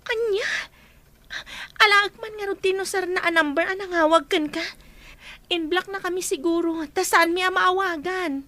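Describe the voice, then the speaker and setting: A woman's voice: extremely high pitch, normal speed, pushed and bright. A woman playing an earnest, helpful young stepsister in a radio drama. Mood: concerned. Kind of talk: Ilocano radio drama acting.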